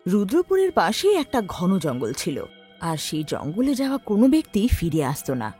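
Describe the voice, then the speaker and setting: A woman's voice: medium pitch, huge pitch swings, normal speed, mellow, husky. A woman narrating a scripted horror story in a dramatic storytelling style. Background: background music.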